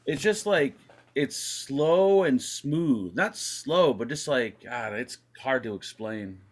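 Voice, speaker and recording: A man co-hosting a live vape stream, loose and talkative. His voice is mid-pitched, and he jokes and wanders through his points with little asides. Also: expressive. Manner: joking, rambling, quick asides. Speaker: co-host. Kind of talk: casual live-stream chat.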